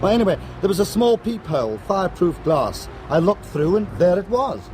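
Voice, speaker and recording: An actor playing a wry, cool-headed criminal courier in a radio drama, speaking in a conversational way. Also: male voice, high voice, big pitch swings, fairly quick, noisy background. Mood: intrigued, wry. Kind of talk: radio drama acting, anecdotal dialogue.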